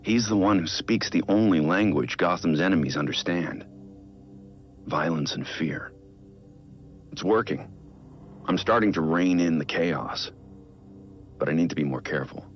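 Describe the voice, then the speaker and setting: An actor playing a weary, brooding male vigilante billionaire in a video game, speaking an introspective, measured dramatic monologue as a private audio log. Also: low-pitched, dull audio, soft background music. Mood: grave, resolute, then earnest, determined.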